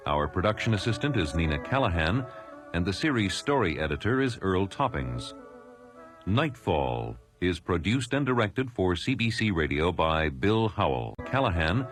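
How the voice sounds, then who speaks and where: A male announcer delivers radio drama credits in a low voice. His formal, theatrical reading has animated intonation and sits over a clear music bed. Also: moderate pace.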